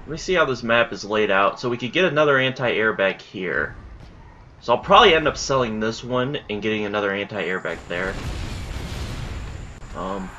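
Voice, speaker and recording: A man's voice: lowish voice, normal speed, dull audio, slight background noise. Stuffy-nosed young man calling gameplay. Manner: chatty, self-deprecating, excitable. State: stuffy nose, just woke up. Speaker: gaming channel host. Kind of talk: casual gameplay commentary. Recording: game sound and gunfire behind.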